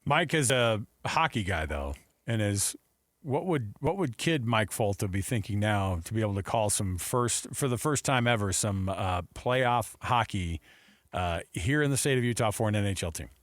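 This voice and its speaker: A man's voice: lowish voice, expressive, normal speed, dry recording. Male sports radio host, dry close sound. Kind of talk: casual sports talk.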